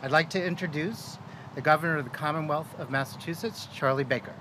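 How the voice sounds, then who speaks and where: A man's voice: on the high side, fast, very noisy. A man from Washington, D.C., a concert operations director, casually introducing speakers at an outdoor press conference. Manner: friendly, casual with fillers. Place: outdoors at a city event.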